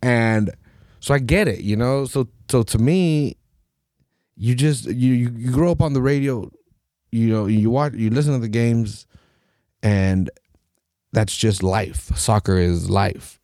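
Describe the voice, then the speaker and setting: A man from Mexico, hosting a podcast in a profane, animated rant full of mocking voices. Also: mid-range voice, unhurried, long pauses, no room sound, studio quality. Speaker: podcast host. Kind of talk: casual opinionated rant.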